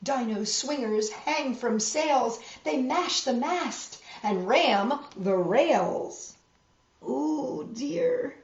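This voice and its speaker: A woman reading a picture book aloud to children in a measured, expressive way, with emphasized rhymes and friendly asides. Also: sits high. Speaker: storytime host reading picture books. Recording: roomy echo, slightly dull sound.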